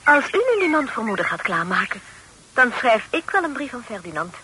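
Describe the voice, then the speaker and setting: A woman's voice: on the high side, very animated, brisk, bright, forceful tone. An actress playing a composed, teasing young lady, the niece of the house, in a period radio drama. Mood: eager, soothing. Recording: noisy old radio play recording.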